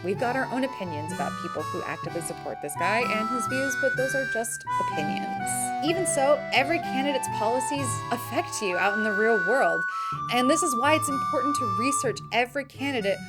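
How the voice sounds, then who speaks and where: High-voiced American woman presenting entertainment news. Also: animated, a little fast. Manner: conversational, opinionated, explanatory. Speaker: host of a film news show. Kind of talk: scripted news commentary. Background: background music.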